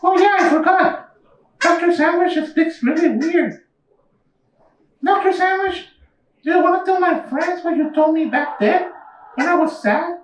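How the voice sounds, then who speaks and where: A woman voicing a young, squeaky monster puppet telling stories in a simple, childlike way at a live puppet show. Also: measured pace, pauses often, slight background noise. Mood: friendly. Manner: childlike, simple storytelling to an audience. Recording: echoey room, slightly distant mic.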